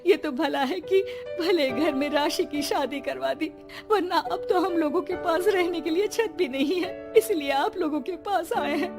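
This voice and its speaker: A woman's voice: very high-pitched, unhurried, pitched above the performer's own voice. A woman voicing a distressed, regretful mother in a cartoon, plaintive and lamenting, with music underneath. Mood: tearful. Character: distressed, regretful mother who has lost everything. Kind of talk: melodramatic cartoon voice acting.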